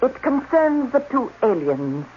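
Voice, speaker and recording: An actress playing a young, sharp-tongued, determined doctor in a 1980s radio drama, direct and insistent. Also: female voice, fairly high pitch, sing-song, a little slow. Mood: insistent, indignant. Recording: dull, noisy old radio broadcast.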